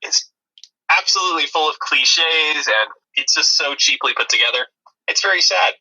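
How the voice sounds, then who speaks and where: A man's voice: fairly high pitch, moderate pace, sharp tone, poor audio. A man co-hosting a movie review podcast, chatting in a dry, disparaging way. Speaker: podcast co-host.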